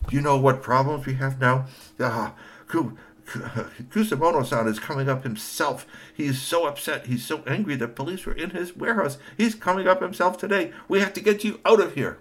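Man doing a grumpy scolding uncle, loud and fed up. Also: on the high side, a little fast, voice pushed up, lightly acted. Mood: exasperated scolding. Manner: exasperated and scolding. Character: scolding stern older uncle.

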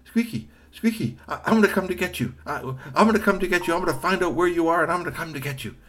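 A man doing a high, eager young boy. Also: sing-song, normal speed. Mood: reassuring. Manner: eager, repeating and stammering.

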